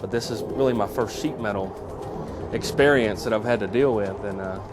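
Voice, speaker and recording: A man talking casually in an interview from his home garage, halting with many restarts. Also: lowish voice, over music. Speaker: interviewee, engineer building a kit plane.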